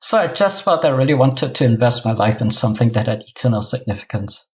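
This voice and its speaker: A man's voice: medium pitch, animated, fast. Middle-aged Singaporean man in conversation. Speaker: guest, New Testament professor. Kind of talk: conversational interview answers.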